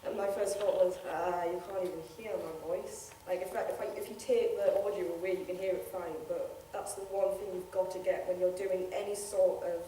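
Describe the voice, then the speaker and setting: A woman's voice: on the low side, steady tone, brisk, hardly any pauses, mellow. A chatty young woman from Newcastle talks on a convention panel. She is heard from a distance in a very echoey hall. Faint room noise sits behind her. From Newcastle, England. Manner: chatty, laughs often, acts out quoted remarks. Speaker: convention panelist, amateur voice actor. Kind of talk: casual panel talk.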